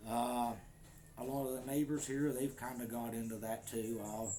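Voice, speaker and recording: A man's voice: medium pitch, little pitch movement, moderate pace, dark tone, slight background noise. An American man in casual vlog talk to the camera, easygoing and joking, recorded at his home in the Philippines. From United States. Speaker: vlogger.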